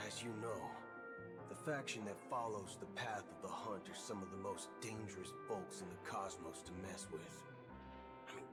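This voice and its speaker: An actor playing a shrewd, talkative space ranger in a video game, with a folksy, wry, conversational manner. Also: male voice, on the low side. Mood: musing. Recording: loud music behind.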